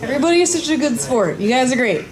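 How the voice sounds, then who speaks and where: A woman's voice: sits high, expressive, rapid-fire, a crowd in the background. A woman chatting casually on a live convention panel. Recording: loud audience in convention room.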